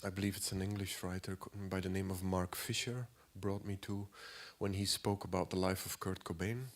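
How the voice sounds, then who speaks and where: A man in his forties with a cold, giving a halting lecture as an artist, clearing his throat often and filling pauses. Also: deep voice, little pitch movement. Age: 40s. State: has a cold.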